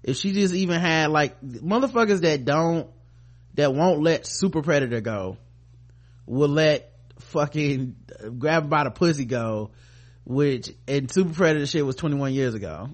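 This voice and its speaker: A man's voice: fairly high pitch, animated, unhurried. Sardonic American man, profane and slangy. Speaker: podcast co-host. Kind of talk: casual news commentary chat.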